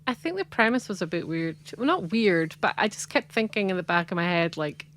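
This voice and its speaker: Scottish woman, low voice, rambly casual podcast co-host. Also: lively intonation, brisk, a bit bright. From Scotland. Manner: chatty and rambling, frequent "like" and laughs. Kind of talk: casual chat.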